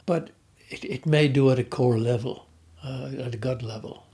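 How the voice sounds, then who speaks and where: An older man with an Irish accent, talking about his life at an unhurried pace, often pausing to restart his sentences. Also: mid-range voice, warm tone. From Ireland. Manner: hesitant, with many restarts and fillers. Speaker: interviewee. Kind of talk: reflective conversational storytelling.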